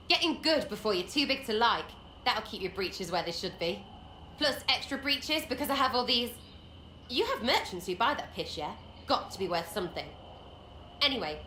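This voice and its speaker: A woman's voice: a little high, sing-song, moderate pace, bright, forceful tone, reverberant, slight background noise, low-quality recording. An actress playing a cheeky, scrappy young woman rogue in a video game, with a brash, slangy, jokey manner. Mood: cheeky excitement. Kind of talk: video game character acting.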